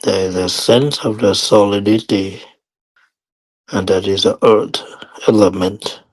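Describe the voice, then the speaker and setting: A man teaching guided meditation in short, measured phrases with long pauses between them. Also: lowish voice, fairly even intonation, rough recording.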